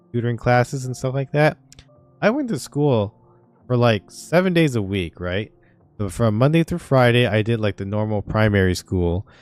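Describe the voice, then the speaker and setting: Low-voiced young man rambling casually. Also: a little mellow, clear, resonant tone. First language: Vietnamese. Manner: rambling, filler-heavy storytelling. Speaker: streamer. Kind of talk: casual gaming stream chat. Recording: faint game music behind.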